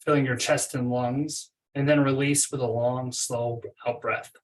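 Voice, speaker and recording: A man leading a guided meditation as a coach, reading instructions in a measured way with pauses between phrases. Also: medium pitch, flat delivery, far from the mic, low-quality recording. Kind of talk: guided meditation, read from a script.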